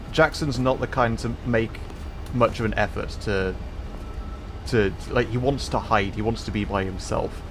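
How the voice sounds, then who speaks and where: A man hosting a casual game livestream, talking in halting, hesitant phrases with pauses. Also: fairly low pitch, background noise. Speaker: livestream host.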